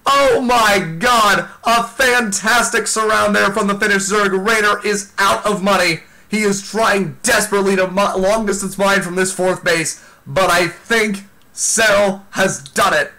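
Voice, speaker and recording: Excitable man with a tired voice calling a match. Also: sits high, low-quality recording. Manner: excitable, exclaims often, talks fast in bursts. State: losing his voice. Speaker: esports commentator. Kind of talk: excited live play-by-play commentary.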